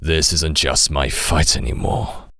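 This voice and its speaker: A man's voice: a bass voice, steady tone, unhurried, vocal fry, fairly bright tone, full character voice. A man playing a brooding half-demon warlord in a game fandub, cold, commanding and dramatic. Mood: cold, scornful. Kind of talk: anime game fandub acting.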